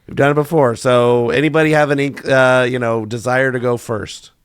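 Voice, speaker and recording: A man hosting a casual quiz podcast, his voice hoarse, chatting and thinking aloud with lots of fillers. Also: medium pitch, fairly even intonation, moderate pace, dry recording. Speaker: podcast host.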